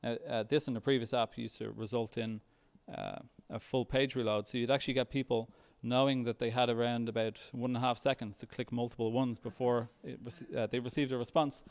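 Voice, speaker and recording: A man presents software at a tech meetup in a mid-pitched voice with noticeable creak. His delivery is halting and hesitant, in the style of a developer walking an audience through a demo. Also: little pitch movement. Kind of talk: conference talk with live demo.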